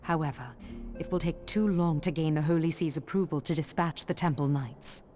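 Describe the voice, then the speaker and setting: A woman playing a composed, dutiful female knightly officer in a video game, speaking in a formal, measured, grave manner. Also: sits low, darkish tone, faint music behind, natural voice. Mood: serious, resolute. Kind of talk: scripted video game dialogue.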